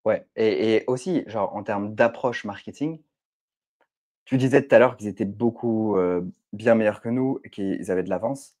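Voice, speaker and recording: Male co-host, low mellow tone, halting and slangy. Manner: hesitant, filler-heavy, "genre" slang. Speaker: podcast co-host, publishing founder. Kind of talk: casual business chat.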